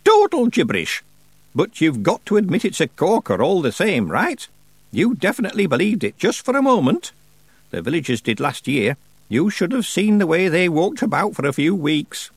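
Storybook: a man doing a very old sly witch woman, raised pitch, slow lofty drawl, very dry close sound. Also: big pitch swings, slightly rough, dry recording, full character voice. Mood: teasing. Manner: slow, drawn-out, lofty delivery. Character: ancient stern female witch, sly and dry-humored.

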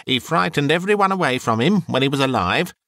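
A man voicing a coarse, greedy old charwoman who mocks the dead in brash, quick bursts. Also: fairly high pitch, expressive, fairly bright tone, dry recording, studio quality, voice pushed up. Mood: scornful.

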